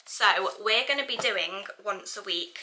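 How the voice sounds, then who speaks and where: Young British female family vlogger, very bright tone, mid pitch, chatty and informal. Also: lively intonation, measured pace, a little hiss or hum, poor audio. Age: young adult. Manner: chatty, informal, thinking aloud. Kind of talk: casual vlog chat.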